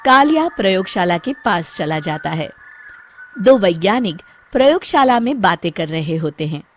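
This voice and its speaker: Lively woman story narrator. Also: medium pitch, normal speed. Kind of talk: scripted children's story narration. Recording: clearly noisy background.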